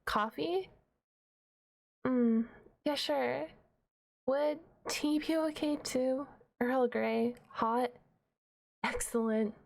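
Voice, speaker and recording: Woman playing a shy, high-voiced half-dragon librarian. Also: vocal fry. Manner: hesitant, bashful, rambling with awkward humour. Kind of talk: ASMR roleplay acting.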